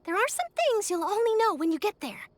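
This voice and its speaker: A woman's voice: extremely high pitch, sing-song, a little fast, dry recording. A woman voicing an eager, chirpy young newspaper reporter in a video game, persuasive and enthusiastic. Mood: eager, upbeat.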